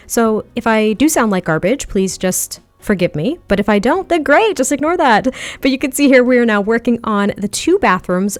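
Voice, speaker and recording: A chatty woman narrates a game build in a relaxed, rambling way, with a voice that is a little nasal from a lingering head cold. She sits close to the microphone at her desk at home, and the sound is dry and close with no room echo. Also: medium pitch. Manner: chatty, rambling, with small laughs. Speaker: video game build creator. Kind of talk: casual voice-over commentary over gameplay. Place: home setup at a standing desk.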